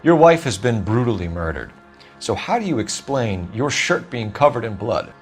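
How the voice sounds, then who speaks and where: An actor playing a stern young American police detective in a video game, questioning in a clipped, formal, accusatory way. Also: male voice, mid-range voice, very animated, moderate pace, faint music behind, lightly acted. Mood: accusing, stern. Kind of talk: acted dramatic video game dialogue.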